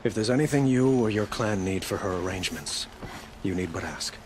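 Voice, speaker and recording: Guy voicing a courteous solemn king in a game, lowish measured voice, bit of background noise. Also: animated, close to an everyday voice. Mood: solemn sympathy. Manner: formal, measured.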